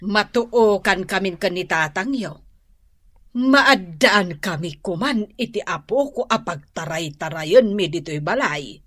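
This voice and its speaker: A woman playing a fussy, blunt older mother in a radio drama, with a husky voice and an Ilocano accent. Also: medium pitch, expressive, a little slow, a bit bright, no room sound, clean studio sound. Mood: excited.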